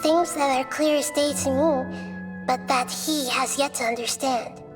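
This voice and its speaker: Soft patient girl goddess, highish voice, game music humming behind. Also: steady tone, measured pace. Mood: gentle. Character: gentle young-girl goddess, calm and wise. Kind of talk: video game voice acting. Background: game background music.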